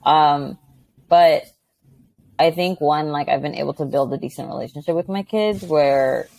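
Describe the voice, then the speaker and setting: A young adult woman with a notably low voice talks animatedly as a podcast guest. She chats freely about her teaching and acts out little quoted exchanges. The sound is close and dry. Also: moderate pace, creaky, a little mellow, no echo. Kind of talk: casual interview conversation.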